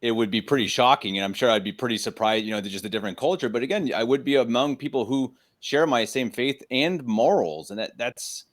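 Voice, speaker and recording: A man's voice: mid-range voice, a little fast, hardly any pauses. A young man hosts a podcast, speaking into a handheld microphone in a room at home. He sounds keen and eager, and repeats words when he gets carried away. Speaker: podcast host. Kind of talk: casual interview conversation. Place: home room with bookshelves, indoors.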